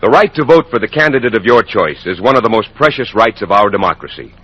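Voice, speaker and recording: An American male announcer reads a scripted public service message. His voice is husky with animated intonation, on a dull old radio recording. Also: on the low side, fast talker, soft background music. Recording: muffled old radio broadcast.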